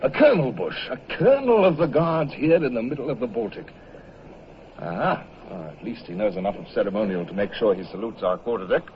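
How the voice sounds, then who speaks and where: An actor playing a brisk, brooding British naval commodore in an old-time radio serial, speaking in a clipped, commanding way. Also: male voice, medium pitch, very animated, slight background noise, lightly acted. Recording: muffled old radio recording, faint music.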